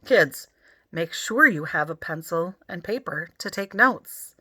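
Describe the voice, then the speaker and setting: A woman's voice: on the low side, lively intonation, unhurried, sharp tone, husky, no echo, exaggerated voice. A woman voicing an earnest, preachy father in a children's story, firm and instructive. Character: earnest, preachy father who pushes learning.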